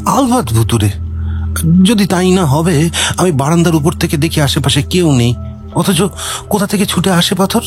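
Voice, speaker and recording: A man voicing a jittery, stammering homeowner in a radio-style detective story. He talks in a swooping, restless voice and won't take no for an answer, with soft music underneath. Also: on the high side, animated, moderate pace, natural voice. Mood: fearful. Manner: nervous, insistent. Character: frightened male homeowner pleading for help. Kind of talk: audio drama acting. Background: faint background music.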